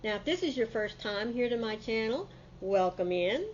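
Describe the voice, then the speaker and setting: A woman's voice: a little high, lively intonation, moderate pace, darkish tone, dull audio, slight background noise. Folksy congested woman chatting. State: congested from a summer cold. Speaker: crochet channel host. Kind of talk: casual chat to camera.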